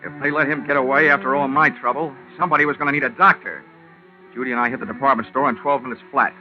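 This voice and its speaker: An actor playing a wisecracking, hard-boiled investigator in an old radio crime drama, sardonic and clipped. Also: male voice, mid-range voice, steady tone, fast talker, close to an everyday voice. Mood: urgent. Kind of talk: 1940s-50s radio detective acting, first-person narration. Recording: muffled old-time radio recording with music.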